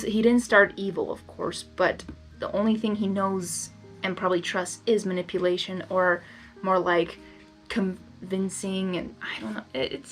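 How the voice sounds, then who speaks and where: Woman reading a story over music. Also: medium pitch. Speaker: fan fiction reader. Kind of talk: expressive story reading with casual asides.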